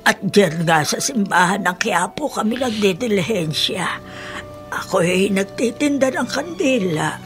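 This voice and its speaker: A woman's voice: medium pitch, expressive, unhurried, soft background music, lightly acted. An actress playing a kindly, talkative older working woman in a Filipino radio drama, with a folksy, hesitant storytelling manner. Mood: earnest storytelling.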